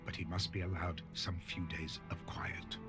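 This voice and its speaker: A man's voice: level tone, lightly acted. A man playing a grave, protective knightly lord in a video game, speaking formally and caringly to a friend. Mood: concerned, gentle. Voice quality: low, gravelly. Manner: formal, measured, caring speech. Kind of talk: dramatic video game acting. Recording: game music behind.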